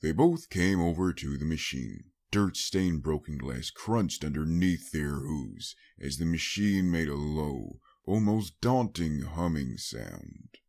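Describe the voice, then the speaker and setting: Very deep-voiced man narrating, animated pitch. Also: slow pace, creaky, few pauses. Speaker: audiobook narrator. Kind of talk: scripted dramatic story narration.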